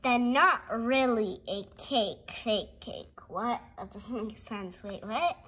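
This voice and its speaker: A young girl reading a picture book aloud haltingly, with giggly, exclaiming casual asides. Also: on the high side, a bit bright. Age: child. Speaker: host of a read-along video.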